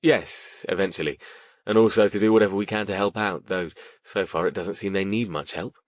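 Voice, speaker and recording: A man's voice: deep voice, fairly even intonation, a little fast, natural voice. A man voicing a polite, reserved magician mentor in an audiobook, courteous and understated. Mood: subdued.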